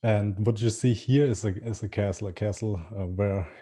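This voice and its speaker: German-accented man, dark mellow voice. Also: on the low side, fairly even intonation. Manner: halting, many fillers and restarts, repeats "with that being said". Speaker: marketing webinar presenter. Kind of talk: webinar presentation.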